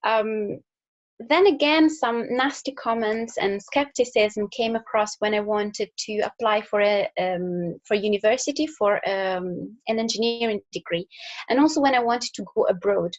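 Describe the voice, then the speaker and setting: A woman's voice: mid-range voice, a little slow, muffled recording. A young woman from Italy with an Italian accent, talking casually to school pupils, with fillers and restarts. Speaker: engineer. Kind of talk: recorded talk to school pupils.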